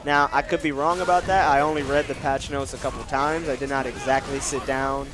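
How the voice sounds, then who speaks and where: A man doing casual stream commentary for a fighting game event, reading viewer questions and answering them. His voice is nasal, and he tries to keep it lower than usual. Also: flat delivery, fairly quick, crowd noise.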